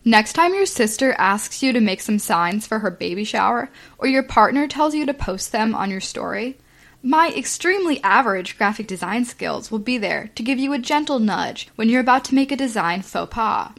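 A woman's voice: on the high side, animated, normal speed, gravelly, a bit bright. A woman reading a mock infomercial in a tongue-in-cheek, salesy way.

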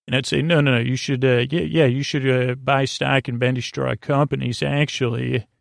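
Rambling man with a creaky, gravelly voice. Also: medium pitch, level tone, no room sound, studio quality. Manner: meandering, halting, digressive, self-interrupting; gently silly, goofy. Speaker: sleep podcast host. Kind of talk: rambling bedtime monologue.

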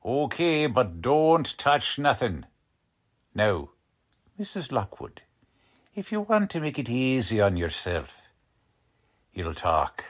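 A man playing a gruff, impatient, bullying 1940s police lieutenant in radio drama style, with slangy tough-cop talk. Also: mid-range voice, very animated, slow, pauses often. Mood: brusque.

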